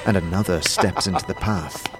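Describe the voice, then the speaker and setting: A man narrating a fantasy audio drama with measured, theatrical storytelling in a dramatic scripted style. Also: sits low. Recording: prominent music behind the narration.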